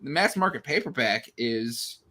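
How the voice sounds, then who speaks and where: A man's voice: mid-range voice, lively intonation, normal speed, pushed and bright. A man in a casual book discussion, speaking with exasperated emphasis and stammering, repeated restarts.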